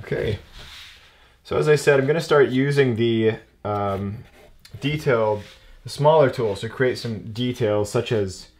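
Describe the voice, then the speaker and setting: A young man with a medium-pitched voice teaches a hands-on craft. He talks casually, as if thinking aloud while he works. His phrases come in halting pieces. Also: darkish tone. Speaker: woodcarving instructor. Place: indoor workshop.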